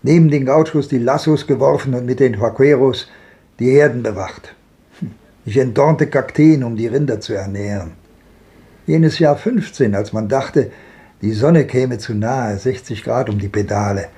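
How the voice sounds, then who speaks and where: An actor playing a world-weary old wanderer in a 1950s German radio drama, reminiscing in a lyrical, declamatory way. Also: male voice, medium pitch, normal speed, warm tone, close to an everyday voice. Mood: grave, reminiscing. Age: older. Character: world-weary old wanderer looking back on a hard life.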